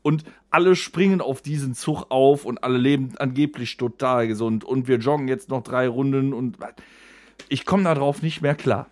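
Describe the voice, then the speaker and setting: A man's voice: mid-range voice, normal speed, no room sound. A man, chatting casually as a podcast host in a coarse, grumbling way. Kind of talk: casual comic chat and ranting.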